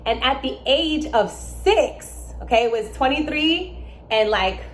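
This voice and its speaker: A young adult woman, born in Germany and raised in America, explaining astrology in a casual, emphatic way with lively asides. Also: high voice, unhurried. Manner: animated, emphatic, with asides and laughs. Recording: echoey room with faint ambience.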